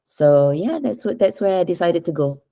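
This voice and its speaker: A woman with a Malaysian accent answers interview questions in a casual, explanatory way. Her voice is quite low and very soft-edged and dark. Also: animated, moderate pace. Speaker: writer, podcast guest.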